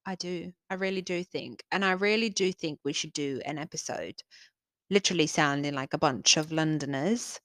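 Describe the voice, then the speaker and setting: A woman's voice: sits low, moderate pace, fairly bright tone, dry recording, clean studio sound. Emphatic young South African-Australian woman. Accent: Australian with South African traces. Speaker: podcast co-host. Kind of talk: casual chat.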